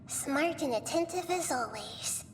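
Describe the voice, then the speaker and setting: A woman voicing a small, wise, gentle young goddess in a video game, composed and measured as she explains. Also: high-pitched, lively intonation, noisy background. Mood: calm, knowing.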